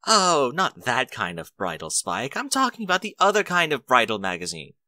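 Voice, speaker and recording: A man's voice: medium pitch, wildly swooping pitch, normal speed, pushed and bright, no room sound, full character voice. A man voicing a refined, polite lady with a fussy, genteel manner for a story reading. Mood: excited, knowing.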